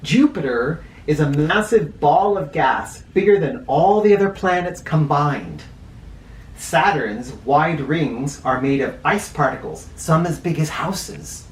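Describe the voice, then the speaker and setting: A man's voice: fairly high pitch, lively intonation, takes it slowly. Echoey male storyteller reading to children. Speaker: host reading a children's picture book aloud. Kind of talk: read-aloud storytelling with teaching asides. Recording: roomy echo, distant mic, faint noise.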